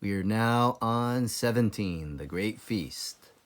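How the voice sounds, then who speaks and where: A man reading a children's book aloud as a teacher librarian, explaining things deliberately for young listeners. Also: on the low side, expressive, a little hiss or hum. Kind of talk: children's storybook read-aloud.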